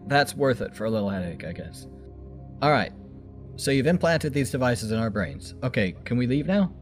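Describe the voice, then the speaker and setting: Man voicing a nervous young buddy, stammering, jumpy pitch. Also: sing-song, normal speed, faint music behind, close to an everyday voice. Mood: uneasy. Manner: stammering, shaky.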